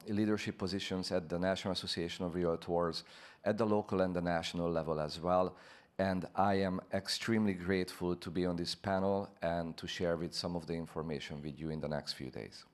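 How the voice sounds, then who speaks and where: Male panelist, deep voice, polished and gracious, handheld mic. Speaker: panelist, real estate broker. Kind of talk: self-introduction on a panel. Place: conference stage before an audience. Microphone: handheld microphone.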